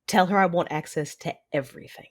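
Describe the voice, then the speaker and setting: A woman playing a commanding, coolly menacing Sith lord in an audio drama, speaking in a measured, imperious, formal way. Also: fairly low pitch, natural voice. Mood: calm, authoritative.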